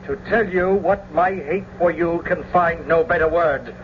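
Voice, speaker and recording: An actor playing a hot-headed, hateful young duelist in a radio Shakespeare drama, haughty and menacing. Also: male voice, fairly high pitch, unhurried, natural voice. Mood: haughty, hostile. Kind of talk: old-time radio Shakespeare acting. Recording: dull, hissy old radio recording.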